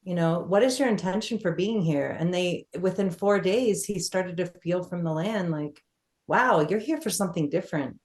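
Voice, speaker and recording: A woman's voice: lowish voice, moderate pace, a little mellow. A woman speaking on a panel in a casual, heartfelt way, with frequent fillers. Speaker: panelist, wilderness guide. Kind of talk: panel discussion.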